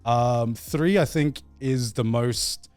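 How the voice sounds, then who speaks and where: A man with a mid-range voice talks casually as a podcast host about games. He tells it in an animated, sweary way, repeating words as he goes. The sound is dry, with faint music behind him. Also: mid-range voice, smooth, no echo. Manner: animated storytelling, profane, halting with repeats. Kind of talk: casual video game chat.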